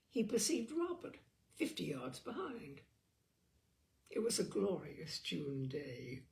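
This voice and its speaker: A woman reading a literary short story aloud as an expressive, theatrical storyteller. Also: medium pitch, vocal fry. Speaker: storyteller, audiobook reader.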